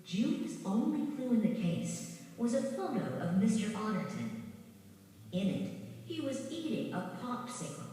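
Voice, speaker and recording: A woman reading a scripted children's story in a measured, dramatic storytelling manner. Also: a little high, sing-song, warm tone, a little hiss or hum. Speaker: storybook narrator. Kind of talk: scripted children's read-along narration. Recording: very echoey, distant, music behind. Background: music.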